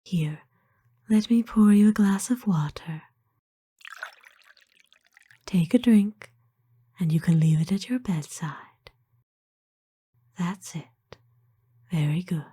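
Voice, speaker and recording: A woman acts out a doting, noble lady vampire in an ASMR roleplay. Her voice is a slow, breathy whisper, soft and mellow, with gentle, courtly phrasing that soothes. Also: fairly low pitch, exaggerated voice. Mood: tender, soothing. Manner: gentle, formal old-fashioned phrasing, soothing. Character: kind, doting, aristocratic female vampire owner.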